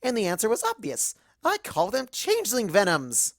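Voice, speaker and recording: A woman voicing a perky, excitable, party-loving young female cartoon pony who narrates her own story. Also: mid-range voice, moderate pace, dry recording, clean studio sound, pitched above the performer's own voice. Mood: chatty. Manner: perky, excitable, big swoops. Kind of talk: first-person fan fiction narration in character.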